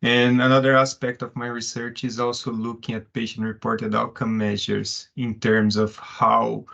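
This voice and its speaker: A man's voice: on the low side, gravelly, a bit bright. A man from Brazil with a Brazilian Portuguese accent, a research fellow explaining his work in an online presentation. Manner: explanatory, occasionally searching for words. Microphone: video call. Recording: lo-fi video call audio.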